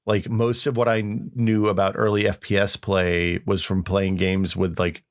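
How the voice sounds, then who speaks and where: A man's voice: sits low, little pitch movement, moderate pace. A middle-aged man co-hosting a podcast in casual, conversational tech chat. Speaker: podcast co-host.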